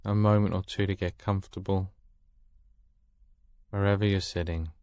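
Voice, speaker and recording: A man guiding a meditation in a calm, soothing manner with long pauses, recorded close in a dry space. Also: low-pitched, no echo. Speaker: meditation guide. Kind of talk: guided meditation.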